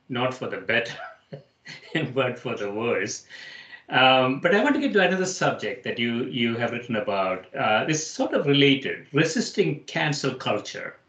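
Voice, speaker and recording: A man's voice: mid-range voice, a bit bright. Echoey male interview host from India. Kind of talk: casual conversational interviewing.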